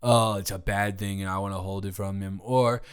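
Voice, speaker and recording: A man's voice: sits low, fairly even intonation, moderate pace, smooth, lightly acted. A young man playing a playful drunk college roommate in an intimate audio roleplay, rambling, slurring, joking and repeating himself. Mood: teasing, tipsy. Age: young adult.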